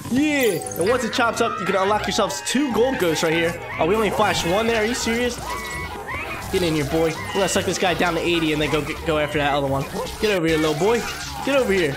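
A congested young man with a stuffy nose giving energetic, slangy let's-play commentary into a headset, with sudden squeaky exclamations. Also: fast. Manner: energetic, slangy, jumps to high-pitched exclamations. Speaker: gaming YouTuber. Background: game music.

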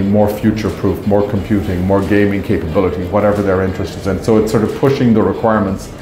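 A man in his fifties being interviewed as a company executive, explaining things conversationally and often checking with "right?". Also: lowish voice. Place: indoor meeting room at trade show. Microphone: clip-on lapel mic. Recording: roomy echo, music underneath.

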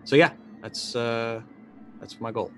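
A man in his forties playing a tabletop roleplaying game, casually narrating his character's actions and thinking aloud haltingly. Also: mid-range voice, steady tone, slight background noise. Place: indoor room, online video call.

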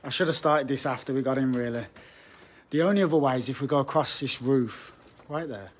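A young man talks straight into a handheld phone while he picks his way through a dark, derelict building. His speech is loose and blunt, with the odd swear, and he often turns to address his viewers. A faint hiss runs under the audio. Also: mid-range voice, brisk. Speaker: urban explorer vlogger. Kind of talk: casual live-stream chat. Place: dark abandoned building indoors. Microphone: phone at arm's length.

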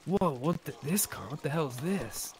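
A man's voice: fairly high pitch, lively intonation, normal speed, crowd noise. A man chatting casually in video game roleplay, swearing freely with mock indignation. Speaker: gamer, roleplay streamer.

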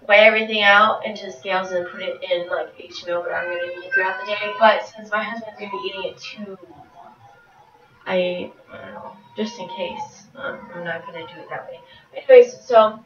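A woman's voice: medium pitch, steady tone, normal speed, over music. Chatty woman in an echoey kitchen. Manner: rambling, many ums, moves around while talking. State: getting over a cold. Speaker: fitness vlogger. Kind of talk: casual how-to chat. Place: home kitchen. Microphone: far camera. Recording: roomy, distant, dull camera audio.

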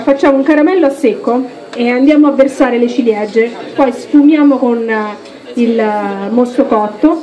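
A woman chef from Rome in her thirties, explaining each step of a dessert while cooking at a live demonstration on a handheld mic. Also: on the high side. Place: restaurant dining room with audience. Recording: chattering crowd behind.

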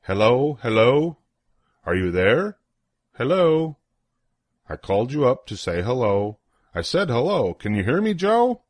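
Insistent storybook phone caller done by an older man, emphatic, lively swoops, dry recording. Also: medium pitch, measured pace, long pauses, no echo. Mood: insistent, calling. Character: storybook caller on a telephone, insistent then let down.

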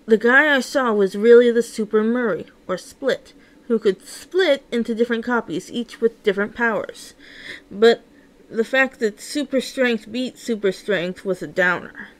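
Female story narrator, slightly high voice, emotive and theatrical first-person read-along. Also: a little slow, rough recording.

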